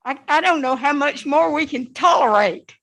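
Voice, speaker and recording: A woman's voice: high-pitched, normal speed, fairly bright tone. A woman from north Florida giving public comment at a city meeting, wry and fed-up. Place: city commission chamber.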